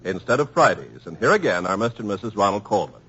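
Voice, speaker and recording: A male radio announcer giving bright, emphatic program notes in a 1950s broadcast. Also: on the low side, sing-song, quick pace, husky. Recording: dull lo-fi old radio broadcast with music.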